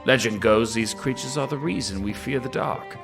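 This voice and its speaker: A man's voice: medium pitch, normal speed, close to an everyday voice. An actor playing a scholar voicing his own warning letter in an audio drama, formal and ominous. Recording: distant voice under clear music.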